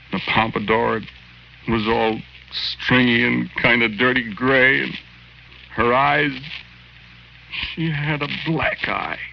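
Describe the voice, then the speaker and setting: An American actor playing the plaintive, vengeful ghost of a petty crook, narrating in plain, slangy 1940s radio style. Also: male voice, lowish voice, vocal fry, a little hiss or hum. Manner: plain, slangy, ungrammatical tough-guy talk. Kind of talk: 1940s radio acting, first-person narration. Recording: dull lo-fi 1940s radio recording. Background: dramatic music.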